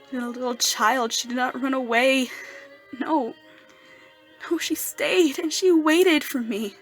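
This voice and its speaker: A woman performing an amateur dramatic monologue as an anguished, lovesick, masked male outcast near death, in a halting, breathy delivery that moves between hushed murmurs and emotional outbursts, over music. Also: a little high.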